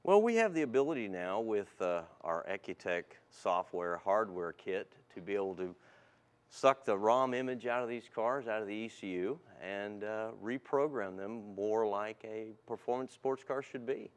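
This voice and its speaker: A man's voice: fairly low pitch, lively intonation, moderate pace, clear, resonant tone. A man speaking as a guest technical specialist, explaining things in a polite, matter-of-fact way in an interview. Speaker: guest car tuning specialist. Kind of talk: plain product explanation in an interview.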